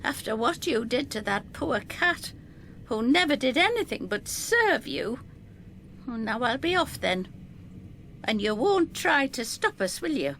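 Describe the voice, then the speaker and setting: Tart, theatrical older lady, played by a female narrator, scornful and proud, high and swooping, for audio drama. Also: sing-song, measured pace, fairly bright tone, a little hiss or hum, heavily acted. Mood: bitter reproach.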